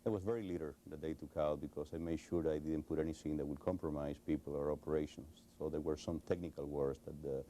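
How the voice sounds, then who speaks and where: Deep, flat-voiced Cuban-accented man. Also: fast, few pauses, slight background noise. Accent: Cuban Spanish. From Cuba. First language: Spanish. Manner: hesitant, many fillers and restarts. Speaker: interview guest. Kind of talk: recorded television interview.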